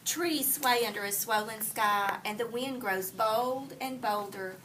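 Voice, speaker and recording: A woman's voice: a little high, unhurried. A woman teaching young children through an interactive read-aloud, prompting them with questions and repeating their answers back to affirm them. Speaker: early grades teacher reading aloud to a class. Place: classroom with children heard around. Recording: roomy, distant mic, noisy classroom.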